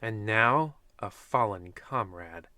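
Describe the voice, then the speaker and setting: A man's voice: fairly low pitch, expressive, slow, bright, forceful tone, no room sound, full character voice. A man playing an ominous, theatrical announcer narrating in the style of a 1940s radio serial. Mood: ominous, dramatic.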